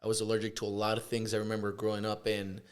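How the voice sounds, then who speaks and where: A man from Puerto Rico, a chiropractor, chatting casually in an interview, relaxed and hesitant with many fillers. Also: on the low side, very fast. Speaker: chiropractor, guest.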